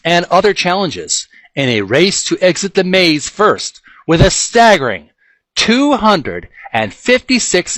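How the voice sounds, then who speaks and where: A man hosting a casual read-aloud for viewers, describing details piece by piece in a halting, deliberate way. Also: mid-range voice, a bit bright, no echo. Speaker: host of a retro gaming channel, reading from a book.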